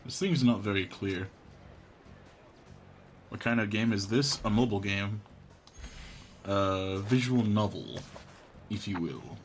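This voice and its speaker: Male streamer, lowish voice, unhurried, casual chat and reading aloud, game music behind. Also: lively intonation.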